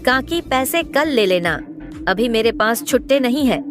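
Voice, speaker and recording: A woman voicing a young villager who talks in a hurried way, in an animated story. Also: fairly high pitch, over music, voice pushed up.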